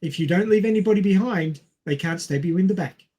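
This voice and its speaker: A man's voice: sits high, brisk, mellow, husky. A man on a podcast panel chatting casually, with a wry, joking manner. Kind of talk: casual chat.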